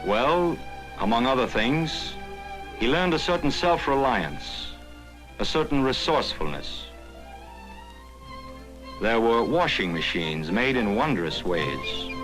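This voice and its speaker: A man's voice: mid-range voice, sing-song, takes it slowly, long pauses, rough recording. An American man delivering scripted narration in the style of a 1940s newsreel. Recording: 1940s film soundtrack with prominent music. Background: music.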